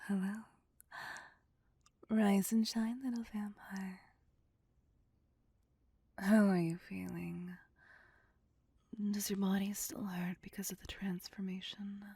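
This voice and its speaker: Female vampire mistress, acted by a woman, slow purring whisper, gravelly creak, seductive, possessive, ASMR roleplay. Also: mid-range voice, flat delivery, mellow, no room sound, heavily acted. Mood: teasing, gloating. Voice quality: breathy, whispery. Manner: slow, teasing, domineering, purring.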